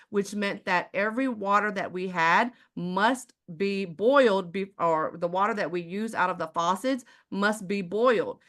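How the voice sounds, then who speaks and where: Texan woman, mid pitch, preachy live sales presenter, fond of rhetorical questions. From Houston, Texas. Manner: preachy, rhetorical questions, repeats "ladies and gentlemen". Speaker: presenter selling water filters.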